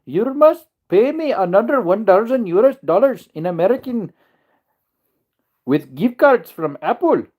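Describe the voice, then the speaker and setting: Man doing a high-pitched, pleading phone-scam caller, insistent and clipped; a jokey livestream bit. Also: big pitch swings, unhurried, husky, narrow, tinny audio, full character voice. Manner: begging, insistent, clipped phrasing. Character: pushy male tech-support phone scammer, pleading.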